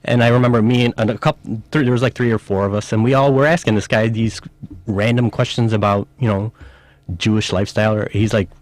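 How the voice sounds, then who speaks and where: A man from Chicago speaks as a guest on a radio show, recalling his past in an earnest, reflective way. His voice sits fairly low, and it sounds dry and close to the mic. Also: steady tone, fast, dry recording. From northwest side of Chicago, USA. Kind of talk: radio interview.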